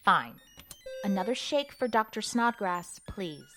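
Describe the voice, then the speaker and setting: A woman's voice: mid-range voice, animated, measured pace, fairly bright tone, faint music behind. A woman playing a formal, exasperated agency chief under pressure in a comedic audio drama. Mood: polite, strained.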